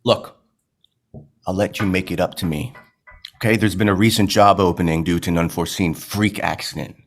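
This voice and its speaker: A man's voice: deep voice, fairly even intonation, fairly quick. A man playing an unhinged, manipulative film director in a screenplay table read, with a stammering, theatrical, erratic delivery. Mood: menacing, coaxing.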